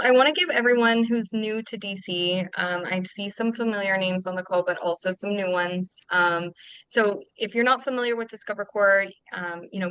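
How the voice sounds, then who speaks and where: Webinar host, woman, bright crisp voice, dry close mic, upbeat with fillers. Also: medium pitch, no room sound, rough recording. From Canada. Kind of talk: casual webinar hosting.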